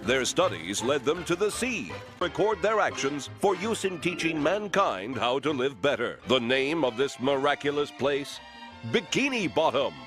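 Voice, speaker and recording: A man's voice: a little high, sing-song, measured pace. A man playing a grandiose, theatrical narrator of a mock-educational cartoon film. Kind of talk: scripted documentary parody narration. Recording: music behind the narration.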